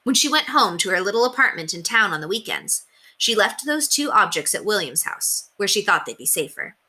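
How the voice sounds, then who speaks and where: A woman narrating a children's book aloud in an expressive read-aloud style. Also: mid-range voice, a little fast, breathy edge. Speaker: storyteller reading aloud to children.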